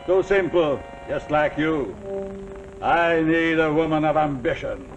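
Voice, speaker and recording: An actor playing a domineering, boastful self-made general in a 1940s television suspense drama, with a gloating, halting delivery. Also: male voice, on the high side, expressive, highs cut off. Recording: noisy, humming 1949 television soundtrack.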